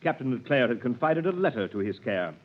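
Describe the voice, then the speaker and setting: A man's voice: mid-range voice, very animated, fairly quick, close to an everyday voice. A man playing a sly, scheming clerk. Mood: sly probing. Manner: sly, probing. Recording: dull old radio recording with hiss.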